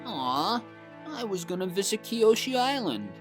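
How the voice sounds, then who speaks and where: Woman voicing a goofy, wildly expressive teenage boy. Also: mid-range voice, measured pace, faint music behind. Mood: jokey. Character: goofy, joking teenage boy, protective brother. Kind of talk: animated comic dub acting.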